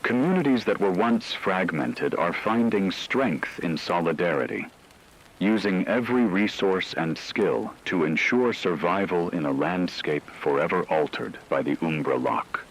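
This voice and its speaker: A man playing a grave radio newscaster, reading scripted emergency narration in a solemn, measured way. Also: deep voice, animated, exaggerated voice, low-quality recording. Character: grave male radio newscaster reporting an apocalypse's aftermath.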